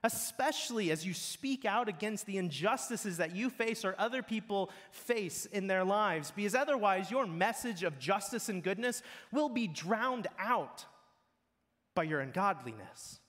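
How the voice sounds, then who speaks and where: Guy preaching, kinda high voice, blunt and earnest, big echoey hall. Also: lively intonation, unhurried, fairly bright tone. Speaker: pastor. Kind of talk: sermon. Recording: long hall reverb.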